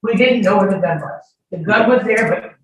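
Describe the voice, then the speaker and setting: Defensive woman testifying in an echoey courtroom. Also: medium pitch. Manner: defensive, argumentative, interrupting, halting. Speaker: witness testifying. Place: courtroom hearing. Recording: echoey courtroom, distant, noisy microphone.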